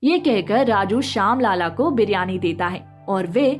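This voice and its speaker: A woman narrates a scripted moral story. Her pitch is medium for a female voice. Soft music plays behind her as she tells the tale. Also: lively intonation, moderate pace. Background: background music.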